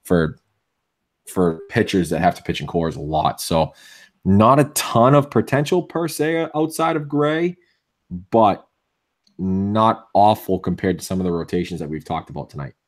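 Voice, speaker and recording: A man with a Boston accent co-hosting a casual sports podcast, opinionated and full of restarts, with a voice going hoarse. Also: low voice, normal speed. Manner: opinionated, many restarts and fillers. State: losing his voice. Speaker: podcast co-host.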